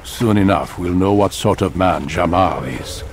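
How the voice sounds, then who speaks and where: An old mentor boss of assassins in a game, stern and measured, kinda low voice. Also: male voice, gravelly, slight background noise. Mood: grave, instructing. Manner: measured, authoritative, formal. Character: commanding older male master of assassins, stern mentor. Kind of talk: dramatic video game acting.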